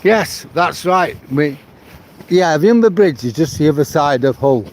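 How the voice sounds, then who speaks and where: A man's voice: fairly high pitch, expressive, unhurried. A man in his seventies from Manchester with a Mancunian accent, chatting on a live stream and greeting viewers by name as he walks by the sea. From Manchester, England. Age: 70s. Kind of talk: casual live-stream chat reading viewer comments. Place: seaside promenade outdoors at night. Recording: loud wind noise on the mic. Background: wind and sea noise.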